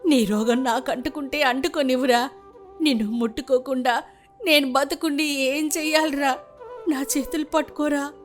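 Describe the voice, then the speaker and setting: A woman's voice: high voice, steady tone, moderate pace, faint music behind, close to an everyday voice. A woman voicing an elderly village grandmother, loving and full of grief, in a melodramatic, lamenting storytelling delivery. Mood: anguished, wailing. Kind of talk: melodramatic moral-story voice acting.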